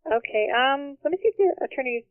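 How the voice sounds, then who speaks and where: A woman's voice: sits high, lively intonation, brisk, no echo. A woman answering a business call as a receptionist, polite and businesslike. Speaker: law office receptionist. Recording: tinny narrowband phone line.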